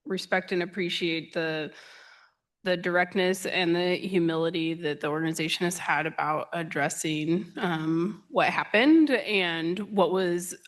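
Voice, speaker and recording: A woman commissioner speaking at a county meeting. She gives thanks in a measured, pausing way. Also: lowish voice, steady tone. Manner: measured and appreciative, with pauses. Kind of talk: formal meeting remarks.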